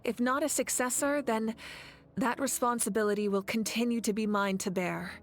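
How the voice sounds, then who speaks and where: An actress playing a solemn, resolute woman who leads a nation, speaking in measured, earnest and formal lines with tender grief. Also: fairly high pitch, fairly even intonation, close to an everyday voice. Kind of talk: dramatic video game voice acting. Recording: orchestral game music behind.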